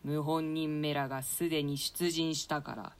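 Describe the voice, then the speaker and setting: Woman as low, clear-voiced ruthless scheming king. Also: level tone, normal speed. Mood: urgent, commanding. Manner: commanding, coarse, theatrical. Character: ruthless scheming usurper king, imperious and scornful. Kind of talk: classical stage play reading.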